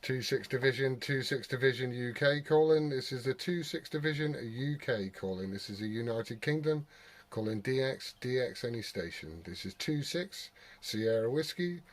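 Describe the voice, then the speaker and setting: A man's voice: medium pitch, lively intonation, normal speed. A British man talking in an informal, sweary, rambling way, switching between casual chat and CB radio calls. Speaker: CB radio operator and vlogger.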